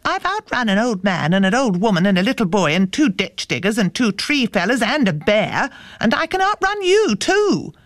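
A woman's voice: medium pitch, sing-song, moderate pace, few pauses, no room sound, studio quality, voice pushed up, lightly acted. A woman voicing a boastful little runaway johnny cake in a storybook, reciting his boasts in a sing-song way. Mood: boastful, gleeful.

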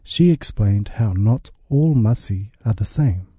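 A man's voice: lowish voice, lively intonation, measured pace, mellow, husky, dry recording. A man reading a scripted radio news report as the reporter.

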